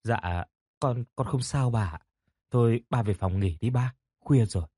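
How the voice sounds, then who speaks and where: A man's voice: medium pitch, unhurried, dark tone, husky, no room sound, studio quality. A man voicing a frightened young woman who pleads and stammers in a horror audio story. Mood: subdued. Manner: pleading, stammering, repeating words. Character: frightened pleading young woman haunted by her dead lover.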